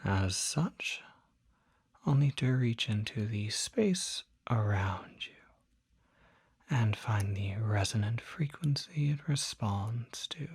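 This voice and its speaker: Someone doing a calm demon doctor for asmr, super breathy whisper, slow n polite, really dry close sound. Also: mid-range voice, no room sound, heavily acted. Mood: calm, reassuring. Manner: measured, reassuring, formal bedside manner. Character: calm, courteous demon doctor treating a patient's curse. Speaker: ASMR voice performer. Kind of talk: ASMR roleplay, whispered and soft-spoken.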